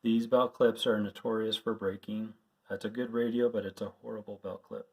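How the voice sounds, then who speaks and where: A man's voice: fairly low pitch, level tone, moderate pace, rough recording. A man giving an informal hands-on tutorial, explaining things plainly and step by step as an instructor. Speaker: radio instructor.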